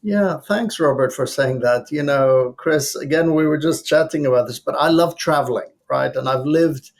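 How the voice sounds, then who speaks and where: A man from Lebanon, a guest author in an interview, telling a humorous anecdote in a lively way. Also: on the high side, moderate pace. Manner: lively storytelling. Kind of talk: interview conversation.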